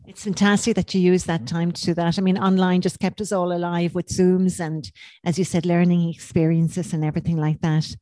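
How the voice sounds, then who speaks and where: A woman's voice: lowish voice, little pitch movement, brisk, dark tone, no echo. A woman hosting an interview podcast, asking encouraging questions and often using the guest's name. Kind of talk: hosted interview.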